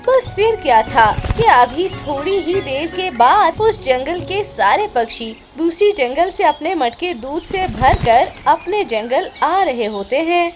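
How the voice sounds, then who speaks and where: A woman narrates a children's story in a high voice. She takes it slowly, as a scripted storyteller, with music clearly playing behind her. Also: expressive.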